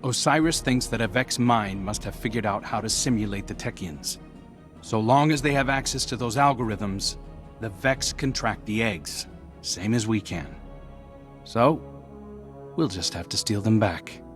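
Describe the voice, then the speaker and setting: A small floating robot companion with a friendly, wry delivery. His voice sits a little low, and he talks briskly and helpfully. Loud game music plays underneath. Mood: wry encouragement. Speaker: video game character. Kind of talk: game cutscene voice acting.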